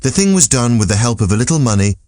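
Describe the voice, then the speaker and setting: Animated male audiobook narrator. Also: medium pitch, fast, dry recording, studio quality. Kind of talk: scripted literary narration.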